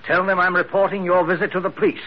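A man's voice: sits high, little pitch movement, fast. A man in an old radio drama playing a curt, domineering, rattled husband who talks in stern commands. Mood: stern, angry. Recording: muffled vintage radio recording, faint noise.

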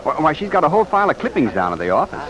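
An actor playing a boyish, teasing young businessman with a folksy, excitable manner in an old-time radio serial. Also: a little high, very fast, a crowd in the background. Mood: cheerful teasing. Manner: folksy, excitable, stammering playfully. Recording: noisy vintage radio broadcast.

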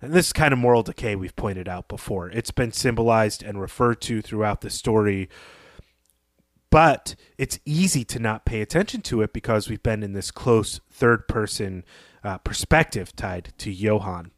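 A man's voice: fairly low pitch, normal speed, no room sound, studio quality. A man co-hosting a podcast, analysing literature in a thoughtful, informal conversational style. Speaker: podcast co-host.

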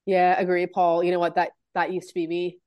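A woman's voice: on the low side, monotone, fairly quick, clear, resonant tone, no room sound, lo-fi sound. A woman with a Canadian accent co-hosting a football podcast in a chatty, informal, giggly way. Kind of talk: casual fan chat.

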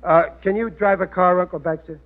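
High-voiced blustering family man, tinny. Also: steady tone, brisk. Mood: stubborn, indignant. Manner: indignant, ungrammatical, boastful. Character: blustering, stubborn working-class family man, dim schemer. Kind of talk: 1940s radio sitcom acting. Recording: tinny, narrow old radio recording.

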